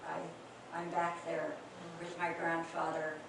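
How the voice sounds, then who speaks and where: A woman's voice: low voice, monotone, slow pace, creaky. Older woman fondly reminiscing, echoey room. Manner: hesitant, many fillers, gentle laughs. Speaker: speaker recalling family memories. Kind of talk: reminiscing oral history talk. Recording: echoey room, distant mic, noisy.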